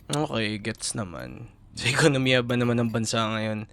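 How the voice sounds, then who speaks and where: A man's voice: mid-range voice, normal speed, gravelly, fairly bright tone, clear, resonant tone. A young man with a Filipino accent playing a caring but exasperated college boyfriend in an intimate audio roleplay, talking casually and earnestly in mixed Tagalog and English. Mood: concerned, coaxing. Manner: casual Taglish, coaxing and earnest.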